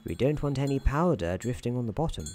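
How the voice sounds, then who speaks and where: Man playing a mellow, droll storybook narrator. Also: medium pitch, expressive, close to an everyday voice. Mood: gently teasing. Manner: measured, whimsical, gently patronising. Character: droll, soothing male storybook narrator in an office worker's head. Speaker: narrator of an ASMR roleplay. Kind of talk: soft-spoken ASMR scripted narration.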